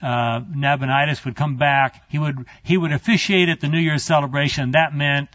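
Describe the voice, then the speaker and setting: A man with a fairly high voice teaches a Bible class, talking in an easygoing, conversational way full of asides to his listeners. The recording is dry and a little muffled. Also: steady tone, normal speed, fairly bright tone, no room sound.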